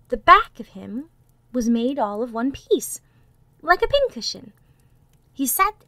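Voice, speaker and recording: A woman reads a children's story as a dramatic storyteller. Her voice sits a little high and moves up and down with great variety, often easing toward a hush. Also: creaky, no echo. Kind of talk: expressive children's storybook narration.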